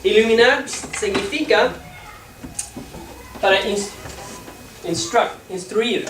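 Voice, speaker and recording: Halting teacher. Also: medium pitch, a bit bright, faint music behind. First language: English. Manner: halting, searching for words while translating. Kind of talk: bilingual classroom lesson. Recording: echoey room, distant mic, noisy.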